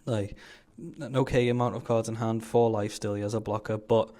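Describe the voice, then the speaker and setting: Man, fairly low voice, casual gameplay commentator. Informal, slangy, explains each play as a fellow player. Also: steady tone, fairly quick, mellow. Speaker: card game player commentating his own match.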